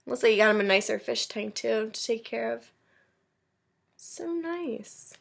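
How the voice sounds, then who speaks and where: A woman with a fairly high voice talks to children during a storybook read-aloud. She is chatty and encouraging, explaining things simply in a casual, conversational way. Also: lively intonation, measured pace, vocal fry. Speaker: teacher reading aloud to a class.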